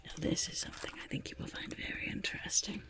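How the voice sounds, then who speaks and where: A woman voicing a quirky, shifty goblin merchant in a whispered ASMR roleplay, breathy and crackly, with halting, drawn-out salesmanship and muttered asides. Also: natural voice. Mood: sly sales pitch. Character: quirky shifty goblin merchant peddling grotesque wares, eager and defensive.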